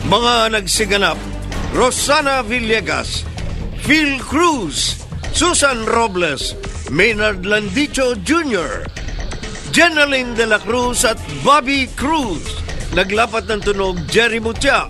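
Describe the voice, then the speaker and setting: A Filipino man announces scripted radio drama credits over prominent music. His voice is strikingly high for a man. He reads formally and very slowly, giving each credit deliberate weight. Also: sing-song. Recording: loud music under the voice. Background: loud music.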